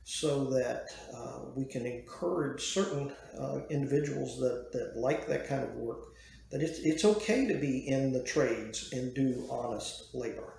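A man in his sixties giving an unscripted woodworking lesson to a webcam in a dim small room, measured and full of "uh" fillers and restarts. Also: mid-range voice, creaky, a little mellow, slight background noise. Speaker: woodworking educator. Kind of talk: unscripted talk to camera. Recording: very echoey room.

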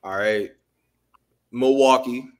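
A man's voice: mid-range voice, slow, darkish tone. A man in casual sports talk on a video call, hesitant and full of fillers and restarts. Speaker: podcast panelist.

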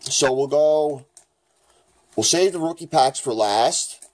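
A man with a raspy voice from the flu, chatting casually as he opens hockey card packs in his home kitchen. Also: a little high, slow pace. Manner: chatty, rambling, excited at good pulls. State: raspy, getting over the flu. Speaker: hockey card channel host. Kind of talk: casual card-opening vlog.

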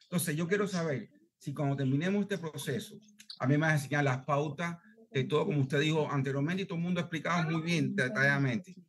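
A man's voice: fairly high pitch, fast. Middle-aged cuban guy, headset call, earnest, talks a lot, keeps asking if you follow. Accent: Cuban Spanish. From Cuba. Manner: earnest, talkative, keeps checking he is understood. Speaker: student asking a question. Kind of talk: video call Q&A. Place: home room, indoors.

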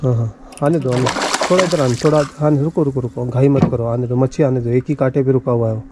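A man's voice: medium pitch, steady tone, fast. A man hosting a fishing vlog, talking casually to viewers and often repeating short phrases. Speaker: fishing channel host. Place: outdoors by water, partly on a boat. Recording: noisy outdoor recording with boat sounds.

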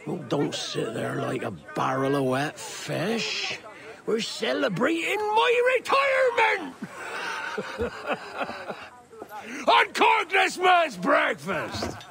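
An actor playing a weary, boisterous, hard-drinking pirate captain in a video game, with a gravelly, theatrical delivery. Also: male voice, very high-pitched, big pitch swings, very slow, sharp tone, raised voice, full character voice. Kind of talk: video game cutscene acting. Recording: dramatic music behind.

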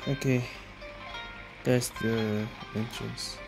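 Low-pitched woman commenting on a game. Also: fairly even intonation, drawn-out words, creaky, over music. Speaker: game streamer. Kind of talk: casual gameplay commentary.

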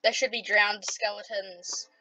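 A woman with an Australian accent, chatting casually as she plays a computer game, with lots of ums and sudden excited outbursts. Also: medium pitch, unhurried, faint music behind, poor audio. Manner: rambling, many ums, bursts of playful excitement. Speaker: gaming vlogger.

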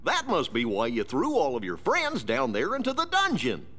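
A man playing a kindly, goofy cartoon dog in a game, with a husky voice and a folksy drawl. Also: fairly high pitch, wildly swooping pitch, moderate pace, fairly bright tone, big-room sound, exaggerated voice. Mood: gentle, reassuring. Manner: folksy, drawling, good-natured.

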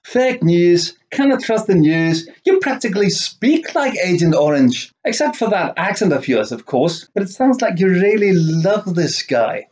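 A man's voice: high voice, very animated, measured pace, hardly any pauses, a little mellow, a little hiss or hum. A middle-aged man vlogging in a living room, giving rambling, wordy reviews and sarcastic commentary. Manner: sardonic, wordy. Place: small living room, indoors.

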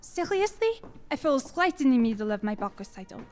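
An actress playing a sweet, curious young French music student, earnest and inquisitive, in a radio drama. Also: female voice, high-pitched, sing-song, brisk, darkish tone. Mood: friendly, curious.

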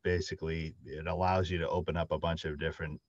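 A man's voice: very deep voice, little pitch movement, quick pace, dry recording. A middle-aged man talking casually as a writer on a panel over a video call. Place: indoor room, on a video call. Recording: dull, dry video call audio.

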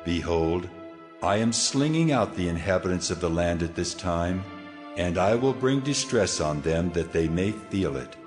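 Man doing deep divine voice, very low, long hall reverb, music behind. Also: animated, measured pace. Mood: solemn. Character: God speaking, deep and echoing. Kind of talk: dramatized scripture reading.

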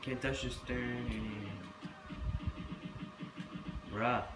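A man's voice: medium pitch, fairly even intonation, very slow, loud music behind the voice. A man with a scratchy voice from being sick, giving casual, playful gameplay commentary and trash-talking the game. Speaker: gaming YouTuber. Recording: echoey room, loud game audio.

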